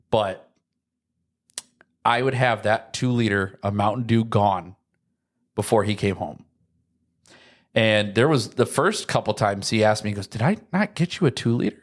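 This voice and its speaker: A young man. He talks casually, like a podcast co-host among friends. His delivery rambles from one anecdote to the next with plenty of slangy asides. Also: on the low side. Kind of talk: casual chat.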